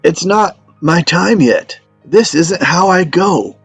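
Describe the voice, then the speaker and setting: A man's voice: sits high, lively intonation, takes it slowly, breathy edge, no room sound, faint music behind, heavily acted. An actor in a script table read playing an ailing old father, a stubborn, folksy teller of tall tales who dodges with jokes. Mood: wry, assured. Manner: folksy, evasive, joking storyteller.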